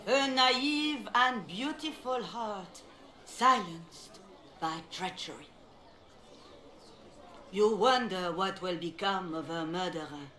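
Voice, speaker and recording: A woman's voice: medium pitch, expressive, a bit bright. An actress playing a stern female cleric who denounces a false prophet to a crowd in a game. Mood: accusing. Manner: slow, declaiming to a crowd. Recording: echoey game audio.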